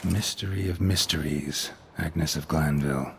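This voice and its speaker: A stoic fantasy game monster hunter, speaking in a very low, gravelly register. He is laconic and dry, deadpan in his delivery. Ambient noise sits behind the voice. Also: a little slow, heavily acted. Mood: muttering, pensive. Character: gruff, stoic male monster hunter, dry-witted and terse. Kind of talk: video game voice acting.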